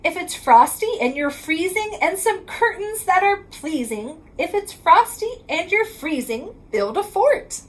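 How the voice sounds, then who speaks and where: A woman's voice: very high voice, big pitch swings, slight background noise, poor audio. A woman reading a rhyming picture book aloud to children in a sing-song way, drawing out the ends of lines. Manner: sing-song rhyming delivery, drawn-out line endings.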